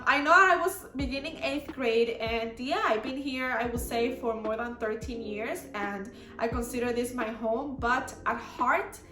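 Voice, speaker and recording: A woman's voice: fairly high pitch, reverberant. A young woman from the Dominican Republic with a Dominican Spanish accent, talking casually to her camera with lots of "like" and "you know" fillers. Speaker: YouTuber.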